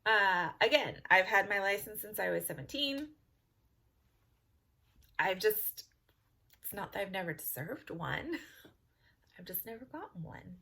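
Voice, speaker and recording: A woman's voice: medium pitch, expressive, unhurried, gravelly, long pauses, bright, forceful tone, husky. A woman from California with a Californian accent, chatting casually to camera in a giggly, rambling way. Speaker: vlogger.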